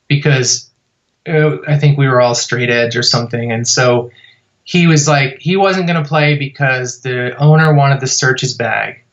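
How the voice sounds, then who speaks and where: Male podcast guest, mid pitch, loose rambling storyteller, slightly muffled audio. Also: fairly bright tone. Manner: rambling, many fillers and restarts. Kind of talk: casual storytelling interview.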